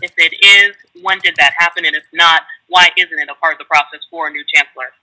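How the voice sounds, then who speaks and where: A man's voice: a little high, fairly even intonation, fast, pushed and bright, low-quality recording. A man working as a television reporter, asking direct questions on a press call.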